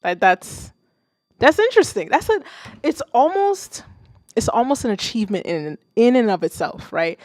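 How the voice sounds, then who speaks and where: A woman's voice: on the high side, very animated, normal speed, a little mellow, no room sound, clean studio sound. A young woman with a Haitian accent, hosting a livestream and giving casual, rambling feedback on submitted tracks, swearing freely and laughing often. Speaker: streamer reviewing submitted music.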